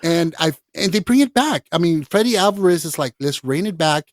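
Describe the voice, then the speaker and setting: A man hosting a casual film podcast, excitable, laughing often and swearing freely. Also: on the high side, moderate pace, dry recording, studio quality. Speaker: podcast host.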